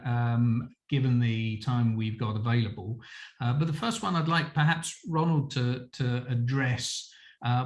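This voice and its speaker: Male moderator, mid pitch, halting, recorded in an echoey room. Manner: halting, many ums and uhs. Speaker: panel chair. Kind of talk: moderating a panel discussion.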